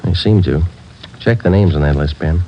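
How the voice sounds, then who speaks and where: A man's voice: sits low, normal speed. An actor playing a terse male police detective sergeant who narrates in a clipped, matter-of-fact way, in a 1950s radio drama. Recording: dull old radio transcription with faint hiss.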